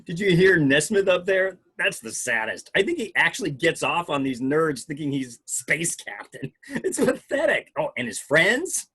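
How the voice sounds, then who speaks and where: A man reading a gleefully cynical twenty-something with a husky voice in an amateur table read. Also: a little high, very animated, normal speed, pushed and bright, full character voice. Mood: scornful, amused.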